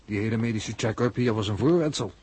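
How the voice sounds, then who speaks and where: An actor playing a dry, cautious, logical astronaut in a 1960s radio drama, reasoning in a pedantic manner. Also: male voice, lowish voice, fast, a little mellow, breathy edge.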